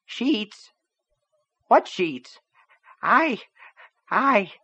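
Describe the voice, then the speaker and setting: Shrill, screamy older woman in panic, put on by a male narrator, much louder than the reading, flat lo-fi sound. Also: drawn-out words, slightly rough, no room sound, full character voice. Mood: panicked. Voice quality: high, thin, screamy.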